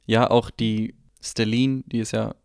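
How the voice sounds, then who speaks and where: A man's voice: lowish voice, little pitch movement, measured pace, gravelly, darkish tone, clear, resonant tone, no room sound, studio quality. A man co-hosting a film podcast, discussing movies in a casual way.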